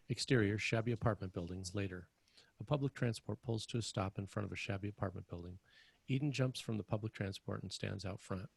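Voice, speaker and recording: A man reading screenplay stage directions as scripted narration, with a husky, gravelly voice. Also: fairly low pitch, no room sound.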